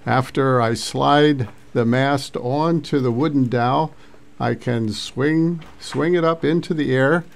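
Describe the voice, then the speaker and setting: Creaky-voiced man, hesitant narrator. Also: mid-range voice, slow. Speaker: amateur radio hobbyist narrating a video. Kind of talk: informal narrated vlog recap.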